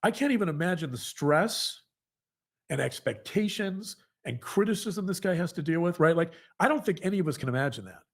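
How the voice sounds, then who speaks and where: Halting, emphatic man, somewhat high-pitched. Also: lively intonation. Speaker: podcast co-host, psychologist. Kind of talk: earnest conversational monologue to a co-host.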